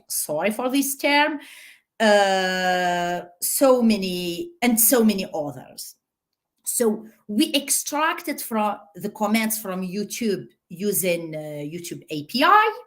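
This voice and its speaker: Lively, unhurried woman presenting research. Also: mid-range voice. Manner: lively, with many "so" and "uh" fillers. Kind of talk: conference talk.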